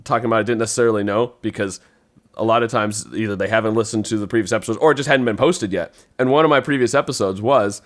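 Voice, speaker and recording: A man's voice: lowish voice, fast talker. A middle-aged man talking casually as a podcast host, putting questions to the group. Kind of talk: casual round-table chat.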